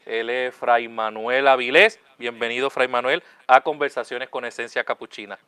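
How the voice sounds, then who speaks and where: A man, a friar hosting a talk show. His voice is mid-pitched and smooth. He speaks in a friendly way, often tagging his sentences with a question, in a dry, close recording. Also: fairly even intonation, moderate pace, pushed and bright, no room sound. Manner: friendly, repeats "¿verdad?" often. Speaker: host, Capuchin friar.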